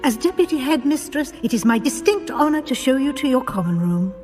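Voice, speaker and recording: A woman's voice: sits high, sing-song, fairly quick, darkish tone, soft background music. A woman playing a kindly, proper deputy headmistress in a video game, polite and welcoming, with a British accent.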